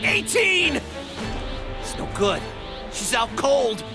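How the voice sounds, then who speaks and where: A woman playing a small, feisty, devoted husband and fighter in an English anime dub, earnest and excitable. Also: high voice, takes it slowly, heavily acted. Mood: worried. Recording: loud music behind.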